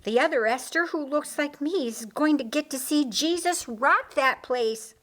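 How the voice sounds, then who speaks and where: A woman's voice: high voice, measured pace, a bit bright, pitched above the performer's own voice. A woman voicing an enthusiastic, devout young woman in an audiobook, speaking eagerly and emphatically. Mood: excited, joyful. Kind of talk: audiobook character dialogue.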